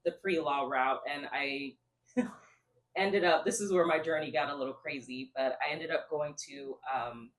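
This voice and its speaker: A woman's voice: deep voice, normal speed, rough recording. A young woman from New York talks as a guest on a casual podcast. She tells her story in a self-assured way. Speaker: guest TV writer.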